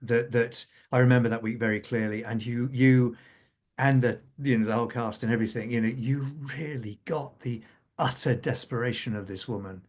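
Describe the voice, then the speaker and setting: A man speaking as a scriptwriter on a panel, reflective and halting, repeating phrases as he thinks aloud. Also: medium pitch, fairly even intonation. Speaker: scriptwriter for a radio drama serial.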